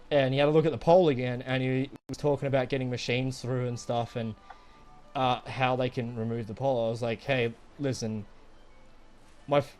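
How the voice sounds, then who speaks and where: A young man casually telling a rambling, profanity-laden personal story to friends. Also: mid-range voice. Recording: music behind the voice. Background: background music.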